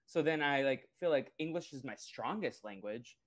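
Young male podcast host, first language Portuguese, mid-pitched, rambling casual chat. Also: moderate pace. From Brazil. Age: 20s. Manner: relaxed, rambling, many fillers.

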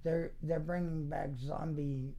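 A man chatting casually as an interview guest, halting and full of wisecracks, with some faint noise behind him. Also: on the high side, level tone, creaky, a crowd in the background. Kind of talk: casual chat.

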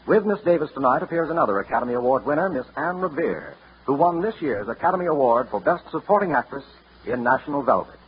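A man's voice: mid-range voice, sing-song, quick pace, husky. An American man announcing formally and grandly in 1940s radio style. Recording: dull vintage 1940s radio broadcast.

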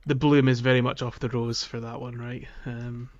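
A man co-hosting a casual gaming podcast, speaking in a halting way as he thinks aloud. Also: mid-range voice, level tone. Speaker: podcast co-host. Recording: dull, band-limited voice recording.

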